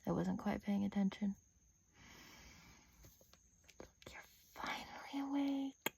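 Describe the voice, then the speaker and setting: A woman playing a playful, menacing vampire captor in a soft-spoken ASMR roleplay, often dropping to a whisper and teasing with sadistic relish. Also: medium pitch, gravelly, a little hiss or hum, lightly acted. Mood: pleased, unhurried. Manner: slow, teasing, with drawn-out pauses.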